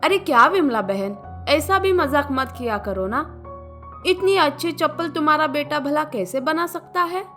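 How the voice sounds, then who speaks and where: A woman's voice: sits high, lively intonation, a little slow, fairly bright tone. A woman voicing a curious, gushing lady of the house in a cartoon village story, full of exclamations. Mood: disbelieving. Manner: exclaiming, effusive. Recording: music bed behind the voice.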